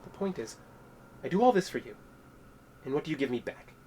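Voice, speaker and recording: Resentful, menacing young man, higher-pitched voice. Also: sing-song, fairly quick, mellow, a little hiss or hum. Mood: bitter, resentful. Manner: accusatory, menacing, brooding. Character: resentful, unhinged young man menacing his roommate with a knife. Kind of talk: horror audio drama acting.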